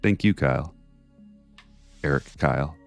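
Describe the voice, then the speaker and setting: A man's voice: a little slow, vocal fry, faint music behind. A man hosts a casual livestream, chatting in a jokey, chatty way and reading viewer messages aloud. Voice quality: deep bass voice. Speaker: card break streamer.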